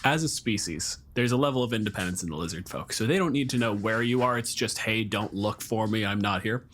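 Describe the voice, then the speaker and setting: A man's voice: on the low side, fairly quick, a bit bright. A man improvising narration as game master of a tabletop game, casually explaining as he goes. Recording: clear background noise.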